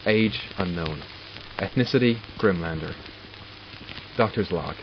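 A man playing a curious, wry doctor in an audio drama, dictating log entries in a measured, formal, dryly sardonic way. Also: deep voice, noisy background. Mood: clinical, curious. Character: male doctor logging studies of supernatural captives, curious and wry. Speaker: voice actor. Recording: dull lo-fi recording with music behind. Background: music.

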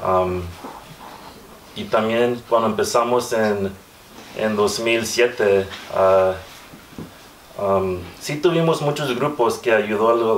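A man in his thirties with an American accent, a native English speaker, giving an informal panel talk and haltingly searching for words. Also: lowish voice. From Southern California, United States. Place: small room with hard walls, indoors. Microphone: far camera microphone. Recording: distant mic, echoey room, faint noise.

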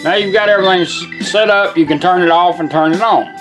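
Higher-pitched folksy man, demonstrating hands-on. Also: fast talker. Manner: plain-spoken, folksy, short step-by-step instructions. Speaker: presenter demonstrating a custom car radio. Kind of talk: casual hands-on product demonstration. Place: indoors at a workbench. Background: guitar music from the radio being demonstrated.

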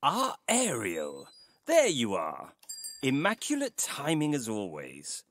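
An actor playing a courteous, mysterious old tailor in an audio story, speaking in a formal, deliberate way. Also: male voice, medium pitch, huge pitch swings, fairly bright tone, exaggerated voice. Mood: genial, gracious. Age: older. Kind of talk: dramatised audio short story acting.

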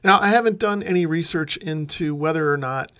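A man's voice: on the high side, a little fast, sharp tone. A man in his forties giving casual how-to narration as a repair channel host.

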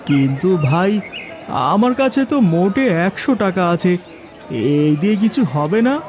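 A man's voice: high-pitched, very animated, slow pace, a little mellow, a little hiss or hum, full character voice. A man playing a poor, humble and devoted village son in a cartoon fairy tale, with an earnest, pleading way of talking. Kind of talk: animated fairy tale acting.

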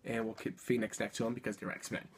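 A man in his thirties chatting casually through an unboxing at a desk, upbeat with quick little laughs, a bit sick and sniffling. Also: on the low side, level tone, breathy edge. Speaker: toy unboxing vlogger. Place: indoor room full of collectibles.

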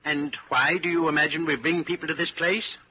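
An actor in a 1940s radio drama playing a coldly controlled party inquisitor, patient, precise and menacing. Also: male voice, a little high, steady tone, a little fast, sharp tone. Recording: dull, noisy old 1940s radio recording.

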